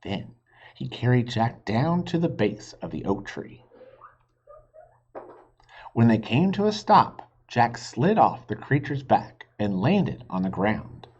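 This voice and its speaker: A man reading a children's book aloud as a teacher, expressive and pausing to ask listeners questions. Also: mid-range voice, slightly rough. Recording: dull lo-fi recording.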